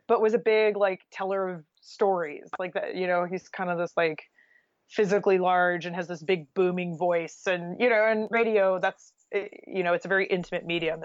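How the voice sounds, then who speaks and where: A woman's voice: lowish voice, no echo. A woman with an American accent talking casually and candidly as an interview guest, with a wry streak. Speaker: journalist and author, podcast guest. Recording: dull, lo-fi sound.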